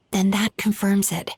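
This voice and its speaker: A woman playing a gentle, calm therapist heroine in a video game, with a measured, composed delivery. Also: medium pitch, husky, dry recording, clean studio sound, heavily acted.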